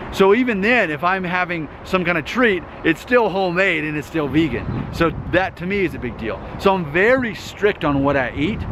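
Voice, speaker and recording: A man in his thirties talking to camera in an earnest vlog monologue. He repeats himself and keeps checking in with "okay?". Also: fairly high pitch. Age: 30s. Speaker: YouTube host. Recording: noisy outdoor recording with traffic.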